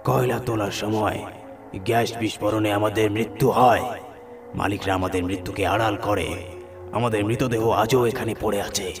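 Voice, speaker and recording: Ghost of trapped mine workers, played by a man: hushed, sorrowful, eerie horror-story delivery over music. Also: fairly low pitch, steady tone, normal speed, natural voice. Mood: mournful. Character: mournful ghost of dead male mine workers.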